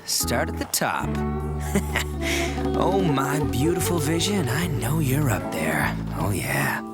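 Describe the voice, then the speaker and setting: An actor playing a brash, boastful young oni gang leader in a video game, swaggering and theatrical. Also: male voice, mid-range voice, sing-song, takes it slowly. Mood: cocky, playful. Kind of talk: scripted game character demo. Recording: loud music behind. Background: loud game soundtrack music.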